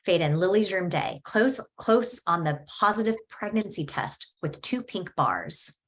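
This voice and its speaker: A woman reading screenplay stage directions at a table read in a steady, descriptive way. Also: on the low side, unhurried.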